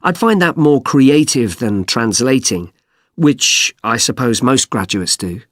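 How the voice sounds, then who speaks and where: A man's voice: medium pitch, animated, a little slow, dry recording, clean studio sound, lightly acted. A young man playing a polite, keen undergraduate in a scripted listening-test dialogue, speaking earnestly. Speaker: student.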